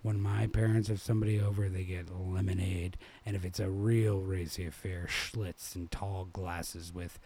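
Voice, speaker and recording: A man from New England reading a literary short story aloud as an expressive narrator. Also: sits low, normal speed, hardly any pauses, a little hiss or hum. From New England, United States. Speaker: reader.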